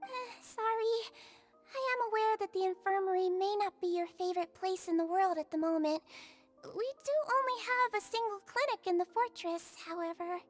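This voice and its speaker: A woman voicing a small, sweet, earnest nurse in a video game, polite and clinical with a gentle scolding streak. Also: very high voice, normal speed. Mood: caring, polite. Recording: music under the voice.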